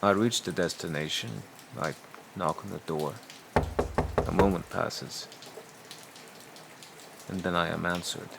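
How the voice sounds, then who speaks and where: An actor playing a troubled, hesitant priest who narrates a dark fantasy audio drama. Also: male voice, deep voice, fairly even intonation, unhurried, vocal fry, long pauses, very noisy. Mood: grave. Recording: echoey voice with music underneath.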